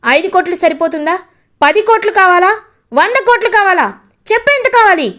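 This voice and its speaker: A woman voicing an indignant young man who scolds loudly, retelling a family drama aloud. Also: sits high, expressive, normal speed. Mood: furious. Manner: raised, scolding delivery. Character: indignant young man, protective older brother.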